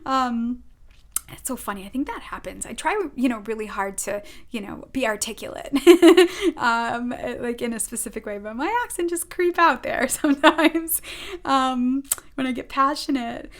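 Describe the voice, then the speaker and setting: A woman's voice: a little high, a little slow. Earnest Rhode Island woman reading oracle cards. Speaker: spiritual advisor giving a weekly oracle card reading. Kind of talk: informal spiritual guidance monologue.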